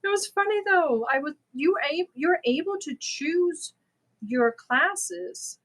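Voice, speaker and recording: A woman's voice: very high-pitched, expressive, slow, a bit bright. A woman from Germany, chatting casually and a little nervously as she hosts a live stream, prone to giggling. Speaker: crochet live stream host.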